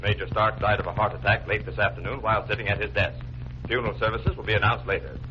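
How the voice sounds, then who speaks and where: Casting: man playing formal newsreader, fast and flat-toned, vintage radio bulletin. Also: on the low side, natural voice. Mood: formal, grave. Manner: formal, impersonal. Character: formal, impersonal male radio news announcer. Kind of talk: 1940s radio news bulletin. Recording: noisy, muffled vintage 1940s radio recording.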